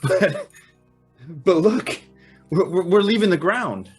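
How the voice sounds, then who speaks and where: A man's voice: high voice, expressive, takes it slowly, breathy edge, soft background music, heavily acted. Man as awestruck, naive young guest. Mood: startled. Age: young adult. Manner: wondering, hesitant, stammering. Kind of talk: old-time radio drama acting.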